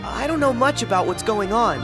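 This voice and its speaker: A spirited teenage boy hero speaks in a youthful, lively American voice. He sounds earnest and casual, ready for adventure in a video game, with music clearly behind. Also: extremely high pitch, brisk. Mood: thoughtful. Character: Sora, upbeat, eager, brave teenage boy hero. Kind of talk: animated video game voice acting.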